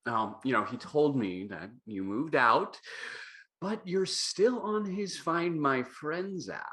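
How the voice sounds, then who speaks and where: A man's voice: a little high, expressive, slow, fairly bright tone. A man acting a playful, breezy, teasing old friend in a comedy table read. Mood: sheepish. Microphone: video call.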